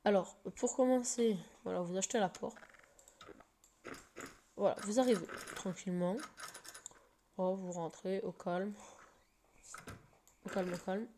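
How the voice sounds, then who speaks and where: A woman's voice: medium pitch, steady tone, measured pace, long pauses, dark tone, slight background noise. Hesitant woman with a slight cold. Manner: hesitant, full of fillers and restarts. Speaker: game tutorial maker. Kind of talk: casual screen-recorded game tutorial.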